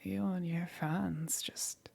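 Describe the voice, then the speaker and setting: A woman playing a powerful demon queen, gentle yet calmly menacing, in a breathy whispered ASMR roleplay with long pauses. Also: on the low side, exaggerated voice. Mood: wistful, matter-of-fact. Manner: slow, soft, coaxing, with long pauses.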